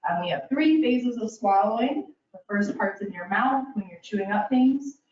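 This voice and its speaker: A woman's voice: a little high, animated, normal speed, a little mellow. Woman clinician presenting informally to a group, distant and dull recording. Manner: casual and chatty, addresses listeners directly, many "kind of" and "um". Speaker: speech-language pathologist. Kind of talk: educational presentation. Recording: roomy, distant, dull sound.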